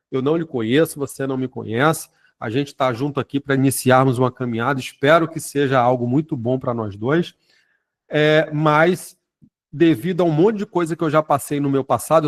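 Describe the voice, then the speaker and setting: A man, leading a sales network and talking informally, tacking "né?" and "tá?" onto his sentences and often restarting words. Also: mid-range voice. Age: 40s. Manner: conversational, with frequent "né?" and "tá?" tag questions. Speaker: network marketing leader.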